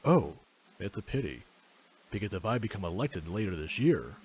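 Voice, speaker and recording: A man voicing a suave, menacing, fanatical politician in a visual novel, speaking in a theatrical, measured, pompous way. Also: deep voice, very animated, background noise. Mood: smooth, earnest.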